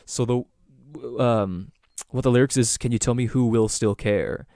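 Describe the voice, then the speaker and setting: A man's voice: medium pitch, normal speed, warm tone, no echo, clean studio sound. A young man from Southern Ontario with a Toronto-area Canadian accent, hosting a casual solo podcast and rambling with many ums and restarts. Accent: Canadian, Greater Toronto Area. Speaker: podcast host.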